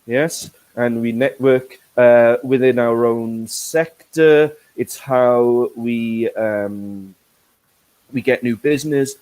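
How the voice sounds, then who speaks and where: A man's voice: medium pitch, takes it slowly, a little mellow, clear, resonant tone, poor audio. A man from Wales hosting an online business English lesson, speaking carefully with frequent fillers and repeated words. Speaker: corporate English teacher, webinar host. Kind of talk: teaching webinar, explaining words.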